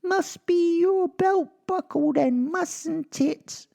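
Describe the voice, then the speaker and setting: High, creaky little boy. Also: extremely slow, mellow, heavily acted. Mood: coy. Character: cheeky innocent-sounding little boy on Santa's lap.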